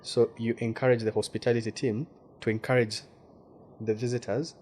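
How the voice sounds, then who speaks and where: A man's voice: mid-range voice, little pitch movement, brisk, darkish tone, a little hiss or hum. A man from Western Uganda with a Ugandan accent, speaking as a guest journalist in a casual interview and often repeating words while he searches for them.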